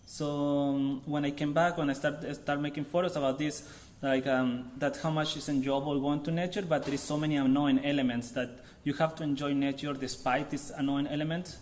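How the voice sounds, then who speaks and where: A man from Chile in his thirties with a Chilean accent, giving an informal artist talk to an audience in a halting way, searching for words. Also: on the high side, steady tone, darkish tone. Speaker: visiting artist.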